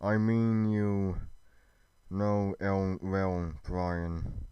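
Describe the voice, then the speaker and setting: A man playing a cold, scheming goddess who speaks in a hushed, measured and formal way. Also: low voice, fairly even intonation, clear, resonant tone, no room sound, dull audio. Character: cold, scheming goddess, proud wife and mother.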